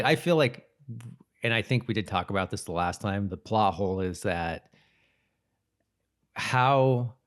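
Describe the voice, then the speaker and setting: A man co-hosting a podcast, casually discussing a TV episode in a halting way, repeating and rephrasing as he goes. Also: on the low side. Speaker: podcast co-host.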